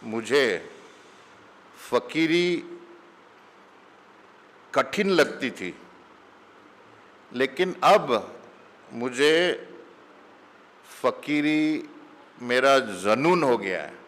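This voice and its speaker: Spiritual teacher, man, middle-aged, unhurried storytelling sermon, mid-pitched, long hall echo. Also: big pitch swings, a bit bright. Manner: slow, mixes English phrases into Hindi. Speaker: spiritual teacher giving satsang. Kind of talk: conversational sermon with anecdotes.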